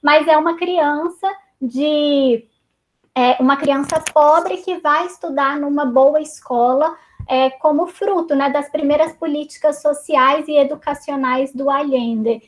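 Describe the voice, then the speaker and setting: A woman's voice: sits high, a little slow, poor audio. A woman with a Brazilian Portuguese accent, a teacher leading an online reading circle, chatty, with many fillers and restarts. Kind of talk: online class discussion. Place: home, video call. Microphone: computer mic on a video call.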